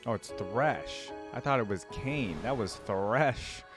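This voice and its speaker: A man with a fairly deep voice hosts a casual reaction. He thinks aloud in short remarks and questions, with music clearly playing behind him. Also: expressive, takes it slowly. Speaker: reaction video host. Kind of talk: casual live reaction commentary. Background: game trailer music and audio.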